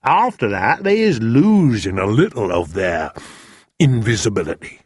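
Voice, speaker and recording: A man voicing a big, kindly, excitable male giant who mangles his words. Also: on the low side, a little slow, slightly rough, no echo, studio quality, heavily acted. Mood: chatty. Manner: jumbled words, broad rustic lilt.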